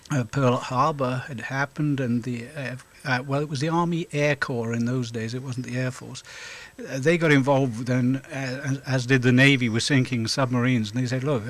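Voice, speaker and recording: A middle-aged man from South Wales, answering interview questions in a halting way with frequent hesitations and an occasional chuckle. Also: mid-range voice, steady tone. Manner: halting, lots of hesitations, chuckles now and then. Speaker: guest, volunteer officer.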